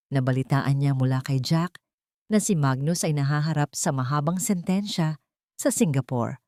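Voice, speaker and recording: A woman narrating a scripted dramatic love story in short, deliberate phrases. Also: low-pitched, a little mellow, no echo, studio quality.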